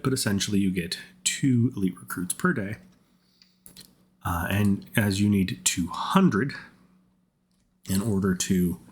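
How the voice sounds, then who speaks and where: A man giving casual gaming commentary in a rambling, think-aloud way, his voice rough from repeated colds. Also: deep voice. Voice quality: husky, rough voice. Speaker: game content creator.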